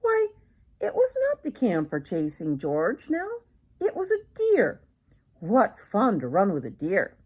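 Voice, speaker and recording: A woman's voice: mid-range voice, wildly swooping pitch, a little slow, darkish tone. An older woman reading a children's picture book aloud expressively, as a grandmother would, with questions to the young listener.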